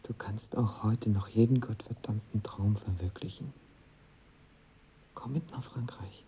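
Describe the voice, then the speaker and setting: A man's voice: fairly low pitch, steady tone, measured pace, highs cut off, a little hiss or hum, natural voice. An actor playing a lovestruck, insecure young actor who tells of his first love in a radio drama, intimate and colloquial. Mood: soft, coaxing. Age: young adult. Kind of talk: radio drama acting and first-person narration.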